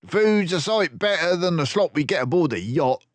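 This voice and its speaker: A man's voice: fairly high pitch, animated, moderate pace, no echo, pitched above the performer's own voice, lightly acted. A man voicing a hulking, bossy sailor mouse thug in a storybook, blunt and coarse. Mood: grumbling.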